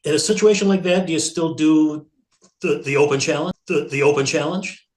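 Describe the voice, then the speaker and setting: A man's voice: a little high, a little fast, darkish tone. A man interviewing someone casually, feeling his way into each question and rephrasing as he goes, in an echoey room.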